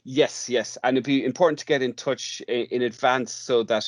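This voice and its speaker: A man's voice: mid-range voice, fairly even intonation, moderate pace, dry recording, clean studio sound. An Irish man speaking as an interview guest in a conversational, hesitant way, often repeating words as he goes. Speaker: guest, radio drama workshop artist.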